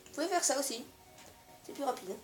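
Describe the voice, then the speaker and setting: A woman with a slightly high voice, stuffy from a cold, walks through a building tutorial in hesitant, stop-start steps. Her voice rings in an echoey room. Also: animated, brisk, a little mellow, soft background music. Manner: hesitant, step-by-step instructions with many fillers. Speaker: gaming video creator. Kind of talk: building tutorial over gameplay.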